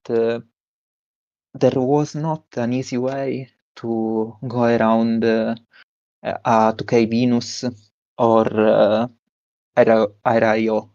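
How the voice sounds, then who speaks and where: A young man with an Italian accent, a tournament winner giving a halting interview with many fillers and restarts. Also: mid-range voice, a little mellow, no room sound, highs cut off. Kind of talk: post-win stream interview.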